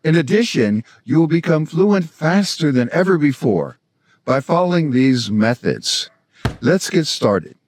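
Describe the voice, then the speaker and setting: A man in his sixties from South Florida with an American accent, presenting scripted language-learning instruction in a measured, explanatory way. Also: a little high, big pitch swings, a little mellow, slight background noise. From South Florida, United States. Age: 60s. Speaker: language-learning video host, polyglot. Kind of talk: scripted instructional narration to camera.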